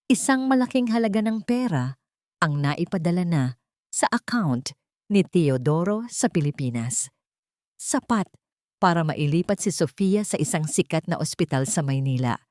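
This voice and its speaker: A woman's voice: lowish voice, a little mellow, dry recording, studio quality. A woman narrating a scripted first-person story in a solemn, emotive storytelling manner.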